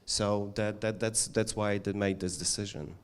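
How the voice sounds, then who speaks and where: A man speaks earnestly as a conference panelist, pausing often with fillers. His voice is low and resonant. He weighs each point with care. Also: little pitch movement, smooth. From eastern Poland. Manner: thoughtful, earnest, many fillers. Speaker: journalist and activist panelist. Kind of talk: panel discussion answer.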